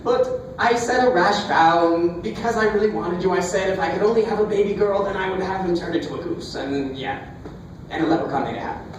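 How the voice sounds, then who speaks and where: A man's voice: sits high, fairly quick, close to an everyday voice. A man voicing a flustered, fibbing mother in a comic fairy tale, defensive and evasive. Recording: echoey, distant, noisy live recording.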